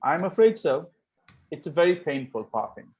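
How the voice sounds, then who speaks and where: A man's voice: on the high side, sing-song, normal speed, a little mellow, highs cut off. A man playing a cheeky, idle young Victorian dandy with a breezy, teasing delivery in an amateur table read of a stage comedy. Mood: lovestruck.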